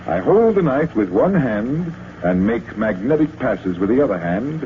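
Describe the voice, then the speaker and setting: A man playing a confident, calm and politely wry magician adventurer in an old radio drama. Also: mid-range voice, measured pace. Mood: genial explaining. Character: unflappable, confident male stage magician and adventurer. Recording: muffled, hissy old radio recording.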